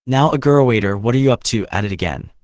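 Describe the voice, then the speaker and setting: A surly London odd-job man acted out by a male reader grumbles doggedly through a classic novel. His speech is rough and uneducated, and the sound is dry and close. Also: mid-range voice, lively intonation, fast, a little mellow, no room sound, natural voice. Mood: irritable.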